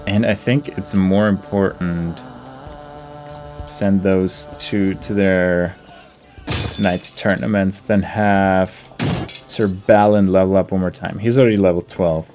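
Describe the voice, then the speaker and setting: A man's voice: low-pitched, fairly even intonation, slow pace. A man giving casual game commentary, deliberating aloud and talking to himself and to the characters as he plays. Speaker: gaming video host. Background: game music behind the voice.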